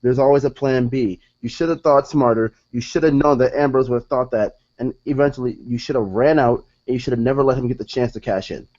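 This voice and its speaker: Insistent man with a warm, dull-sounding voice. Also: medium pitch, fairly quick, hardly any pauses. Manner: insistent, eager to argue his point. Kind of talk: casual wrestling review chat.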